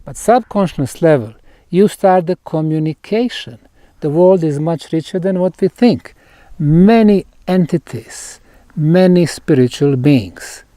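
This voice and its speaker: A man giving a guided talk to a group at a site, speaking in deliberate, emphatic phrases broken by pauses. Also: fairly high pitch, mellow, dry recording. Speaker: researcher and tour guide. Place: outdoors at a megalithic stone site.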